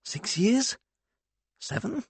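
A man's voice: extremely high pitch, sing-song, drawn-out words, vocal fry, a little mellow, husky, dry recording, voice pushed up, heavily acted. A male reader puts on a middle-aged Englishman from a storybook, a kindly editor. He is flustered and polite, hesitating and trailing off in an English accent, in a close, dry recording. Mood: flustered apology. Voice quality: tobacco-rich. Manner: hesitant, bumbling, courteous, trailing off.

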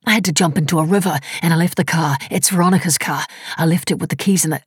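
A woman narrator voicing an injured, hunted man who talks in a hoarse, urgent, pleading rush. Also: a little high, quick pace, dry recording, studio quality. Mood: frantic urging. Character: injured hunted young man, frantic and desperate.

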